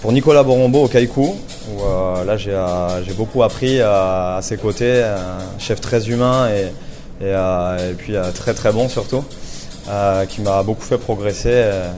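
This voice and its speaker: A man's voice: lowish voice, measured pace, a little mellow, faint music behind. A man in his twenties, a chef from Paris, answering interview questions with many fillers and restarts. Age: 20s.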